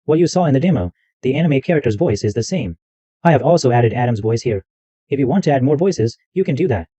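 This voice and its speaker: A man hosting a tech tutorial, walking through software step by step and repeating himself as he goes. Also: medium pitch, animated, fast, breathy edge, no room sound, dull audio. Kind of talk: screen-recorded software walkthrough.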